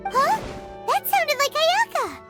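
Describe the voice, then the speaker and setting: A woman voicing a small, childlike, excitable fairy companion in a video game, with a squeaky, perky delivery. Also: exaggerated voice. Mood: surprised. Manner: perky, refers to self in third person. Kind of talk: video game character acting.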